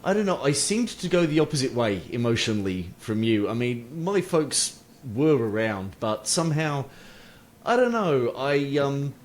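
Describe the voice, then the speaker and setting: A man's voice: medium pitch, big pitch swings, normal speed, a little hiss or hum. Hesitant, reflective male podcast host. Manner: reflective, hesitant, many ums and short backchannel replies. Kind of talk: casual interview chat.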